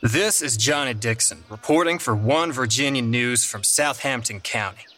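A man's voice: mid-range voice, normal speed, bright, forceful tone, soft background music, close to an everyday voice. An actor playing an outraged, melodramatic 1830s Virginia newsman in a dramatized report. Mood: grave outrage. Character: indignant 1830s male news reporter in Virginia. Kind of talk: dramatized news report and interview.

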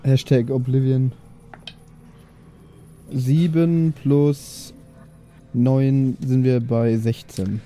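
A man's voice: mid-range voice, steady tone, extremely slow, pauses often, warm tone, soft background music. A man chatting casually as a player in a tabletop game, laid-back in manner.

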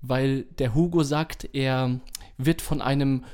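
A man's voice: medium pitch, fairly even intonation, slow pace, darkish tone, smooth. A man hosting a podcast in casual conversation, speaking informally and with enthusiasm. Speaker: podcast host. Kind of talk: casual chat.

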